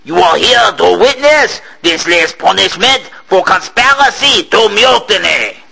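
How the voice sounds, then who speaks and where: A man's voice: mid-range voice, animated, unhurried, gravelly, sharp tone, slightly rough, full character voice. Man voicing a menacing ship captain. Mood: commanding. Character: cruel male ship captain, menacing, commanding.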